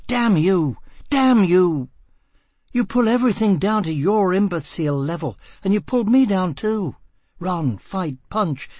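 Man voicing a shrill, high-strung young woman. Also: mellow, breathy edge, dry recording. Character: panicky, volatile young woman fleeing underground.

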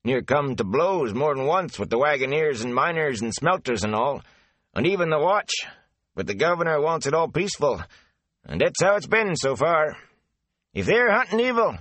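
Guy putting on a high chatty country gatekeeper, rambling folksy gossip, dry close sound. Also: fairly bright tone, dry recording. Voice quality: folksy dialect, rambling and eager. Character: gossipy talkative male gatekeeper, rustic.

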